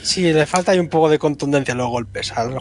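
A man's voice: fairly high pitch, fast, slight background noise. Chatty, opinionated man. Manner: chatty, opinionated, laughs often. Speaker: podcast co-host. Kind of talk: casual gaming chat.

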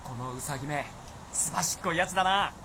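An actor playing a jumpy, superstitious hunter in old Japan for a radio drama. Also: male voice, fairly high pitch, fast, sharp tone, a little hiss or hum. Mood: grimly intent.

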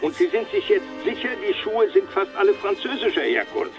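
An actor playing a stubborn, articulate and formal French police commandant in a German radio crime drama. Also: male voice, sits high, wildly swooping pitch, fairly quick, gravelly, full character voice. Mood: indignant, defensive. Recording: dull, band-limited phone-call sound, faint music.

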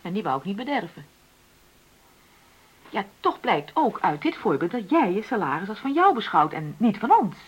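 A woman's voice: medium pitch, sing-song, normal speed, husky, rough recording. An actress playing a frustrated middle-aged wife in a radio play, insistently arguing for equality in her marriage. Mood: earnest, reproachful. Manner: argumentative, insistent, reasoning. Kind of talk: naturalistic Dutch radio play dialogue.

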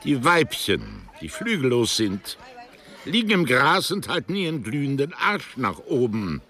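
A man's voice: mid-range voice, huge pitch swings, a little slow, sharp tone, heavily acted. An actor playing a cantankerous, pedantic insect researcher in a radio play, brusque and condescending as he lectures. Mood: pedantic, lecturing.

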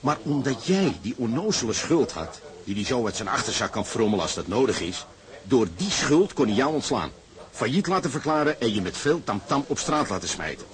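An actor playing a fervent socialist sailor who lectures insistently and persuasively with emphatic questions, in a Dutch radio drama. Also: male voice, mid-range voice, big pitch swings, fairly quick, natural voice. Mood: fervent, explaining.

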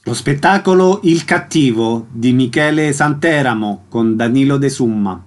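A man's voice: mid-range voice, a little slow, faint music behind. A man hosting a radio culture programme, presenting listings and putting questions to a guest. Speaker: radio host and interviewer.

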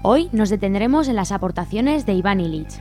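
A woman's voice: mid-range voice, expressive, brisk, mellow, faint music behind. A woman with an accent from Spain co-hosting a radio programme, presenting from a script. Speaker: radio co-host.

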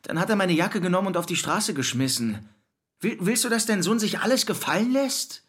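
A man's voice: high voice, expressive, brisk, a bit bright, breathy edge, exaggerated voice. An actor playing a defiant, boastful young man, a restless dropout, talking colloquially and insistently in a radio drama. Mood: indignant. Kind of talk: German radio play acting, monologue and dialogue.

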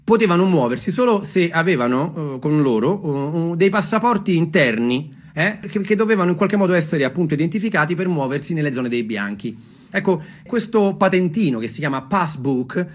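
A man's voice: a little high, expressive, fairly quick, husky. A man telling a story theatrically and off the cuff, emphatic, repeating phrases and putting questions to his listeners. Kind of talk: theatrical spoken storytelling, semi-improvised.

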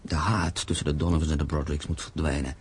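A man's voice: extremely low pitch, flat delivery, quick pace, slight background noise. A man acting in a Dutch radio drama as a proud, grieving castle owner, speaking solemnly and emphatically. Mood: resolute, idealistic.

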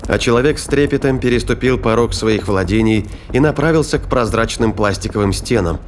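A man narrating a scripted audio drama in a measured, literary storytelling manner. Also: fairly low pitch, slight background noise.

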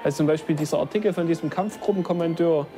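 A man's voice: on the high side, very fast, dark tone, faint music behind. A man recounting personal experiences informally, in colloquial run-on sentences. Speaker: eyewitness recalling his arrest. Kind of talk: informal spoken recollection.

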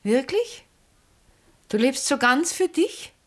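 An actress playing a vivacious, effusive middle-aged former stage star with an Austrian accent in a 1960s radio drama. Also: female voice, high voice, very animated, slow pace, fairly bright tone, full character voice. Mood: teasing. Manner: theatrical, effusive, often breaking into laughter. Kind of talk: 1960s German-language radio drama acting.

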